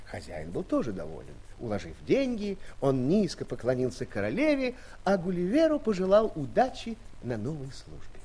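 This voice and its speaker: A man narrating a children's story in an expressive storybook style. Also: on the high side, moderate pace, few pauses, slightly rough. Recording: music behind the voice.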